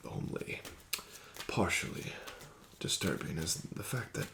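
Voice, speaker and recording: A man doing an ASMR roleplay as a casino dealer, formal and courteous, slipping into soft whispers. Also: low-pitched, expressive, unhurried, gravelly, background noise.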